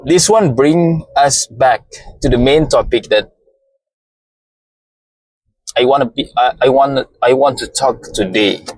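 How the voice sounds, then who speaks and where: A man with a Malaysian accent, a native Malay speaker, giving a slow, deliberate motivational talk and often repeating phrases. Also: medium pitch, a little mellow, no echo, poor audio. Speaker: podcast host.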